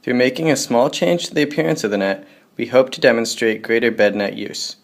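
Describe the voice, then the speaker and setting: A man reads a scripted pitch in a plain, steady voice. His pitch sits in the middle range. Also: a little fast.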